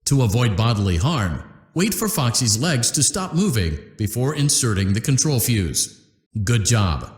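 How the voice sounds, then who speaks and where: A man's voice: mid-range voice, sing-song, measured pace, reverberant. A man voicing an upbeat corporate repair-training instructor in a game, giving polite, cheerful step-by-step instructions. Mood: instructive.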